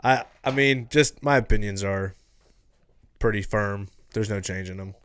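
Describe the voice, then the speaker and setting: Casting: relaxed male podcast guest, low and smooth, close-miked and dry. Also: unhurried, dry recording. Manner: easygoing, agreeable, says dude and man. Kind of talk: casual podcast chat.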